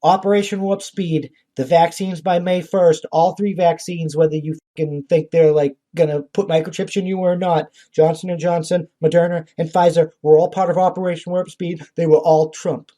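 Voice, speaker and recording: A man speaks as a podcast host in a somewhat higher-than-average voice with a relaxed, dark-edged tone. His commentary is informal and political, blunt and full of profanity. He sounds exasperated and indignant as he makes his case. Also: normal speed, hardly any pauses.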